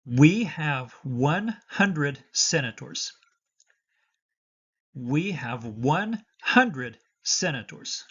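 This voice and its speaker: A man's voice: fairly high pitch, big pitch swings, drawn-out words, a bit bright, slightly rough, lo-fi sound. A man teaching a lesson for the citizenship test, dictating patiently and switching between Spanish and English.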